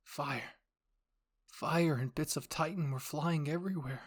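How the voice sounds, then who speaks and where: A narrator reading a first-person fan fiction story in a dramatic, suspenseful way, dropping softer at tense moments. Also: mid-range voice, measured pace, breathy edge. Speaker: narrator and voice actor of all characters.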